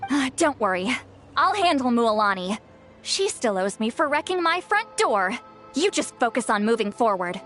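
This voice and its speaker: A woman's voice: a little high, sing-song, normal speed, a bit bright, soft background music. A woman voicing a feisty, bossy little granny warrior with a girlish voice in a video game, cocky and theatrical.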